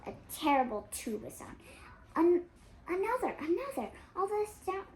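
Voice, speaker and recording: A high, child's voice of about eight reads a storybook aloud. The pace is slow and halting, with small stumbles and corrections, while the pitch moves in lively swings. There is some background noise. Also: rough recording. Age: child, 8 years old. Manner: halting, stumbles over words and self-corrects. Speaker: young reader on a read-aloud channel.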